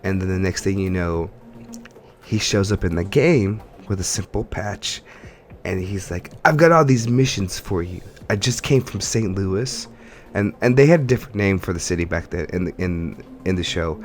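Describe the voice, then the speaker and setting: A man hosting a podcast, chatting casually about games in an animated, informal way with slangy phrasing. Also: deep voice, moderate pace, slight background noise. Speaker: podcast host. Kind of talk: casual gaming podcast chat.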